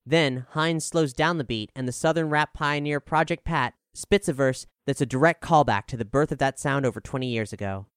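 A man narrating a scripted music review in a measured, articulate, formal way. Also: medium pitch, fairly bright tone, clear, resonant tone, no room sound, clean studio sound. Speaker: narrator reading an album review.

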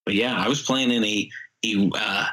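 A man co-hosting a comedy podcast in casual banter, telling stories and asking quick questions. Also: on the low side, fairly even intonation, bright, forceful tone, slightly rough, poor audio. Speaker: podcast co-host.

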